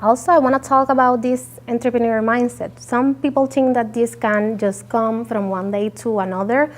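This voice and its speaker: A woman's voice: on the high side, steady tone, moderate pace. A woman from Puerto Rico, a native Spanish speaker with a Puerto Rican Spanish accent, giving an earnest talk with frequent fillers. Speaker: guest speaker. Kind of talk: presentation talk.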